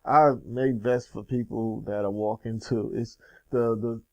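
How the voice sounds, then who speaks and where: A man with a gravelly edge to his voice hosts a casual interview show. He talks in an upbeat, loose and rambling way, plugging things as he goes. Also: lowish voice, steady tone, unhurried, mellow. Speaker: show host.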